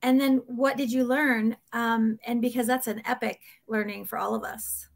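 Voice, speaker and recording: Slightly high-voiced woman host. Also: steady tone, normal speed, creaky. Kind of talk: interview questions. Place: home room, indoors. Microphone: video call webcam.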